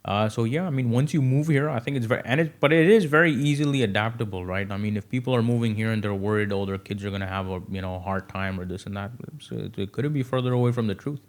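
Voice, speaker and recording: A man's voice: lowish voice, quick pace, few pauses. A young man from Saudi Arabia, a real estate agent, talking casually on a podcast and filling his speech with "you know.". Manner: loose and conversational, many fillers like "you know".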